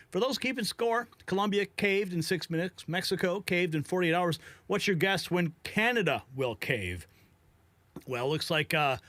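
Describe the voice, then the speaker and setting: Male political commentator, sharp and bright, fairly high voice, opinionated live delivery. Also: no room sound. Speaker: political news commentary host.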